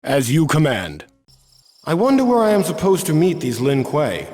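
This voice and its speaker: Young warrior hero, dutiful, earnest, lightish pitch, expressive intonation, game cutscene. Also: male voice, moderate pace, no echo. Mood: eager, dutiful. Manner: earnest, formal. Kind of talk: video game cutscene voice acting.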